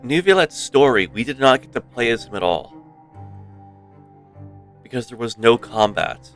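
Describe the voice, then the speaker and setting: A man's voice: medium pitch, expressive, normal speed, a bit bright. A man doing casual live commentary on a game as a streamer, with wry asides. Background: game music.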